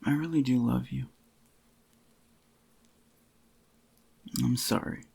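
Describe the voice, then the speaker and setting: A man playing a doting, possessive yandere boyfriend in a soft-spoken ASMR roleplay, with a tender, intimate murmur and a husky, slightly groggy voice. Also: mid-range voice, fairly even intonation, brisk. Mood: lovestruck.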